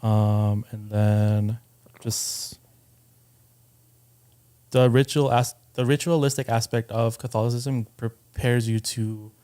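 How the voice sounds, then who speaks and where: A man from Southern California with an American accent, explaining things informally as a podcast guest in a thoughtful, halting way. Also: medium pitch, fairly even intonation, warm tone, clear, resonant tone. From Southern California, United States. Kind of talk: casual conversational explaining.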